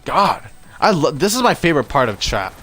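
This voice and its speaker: A man's voice: fairly high pitch, big pitch swings, a little fast, fairly bright tone, soft background music. Young guy streaming a game at home, voice kinda tired, rambly and chatty, bouncy intonation. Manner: chatty, rambling, self-correcting. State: voice worn out at end of stream. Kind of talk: casual game commentary. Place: home room.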